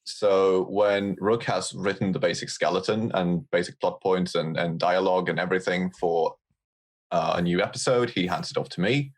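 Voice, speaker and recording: A man's voice: sits low, normal speed. A man chatting casually as a guest on a podcast. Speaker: guest, audio drama lore editor.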